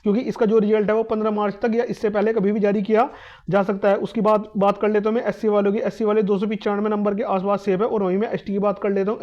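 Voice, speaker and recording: High, rapid, flat-toned young man. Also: lo-fi sound. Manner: repetitive, emphatic, advising students directly. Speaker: exam coaching YouTuber. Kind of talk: fast explainer talk to the camera.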